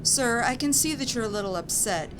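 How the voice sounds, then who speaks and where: A woman's voice: a little high, lively intonation, fairly quick, noisy background, lightly acted. A woman playing a solicitous flight attendant in a comedy audio sketch. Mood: soothing. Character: solicitous female flight attendant soothing a passenger.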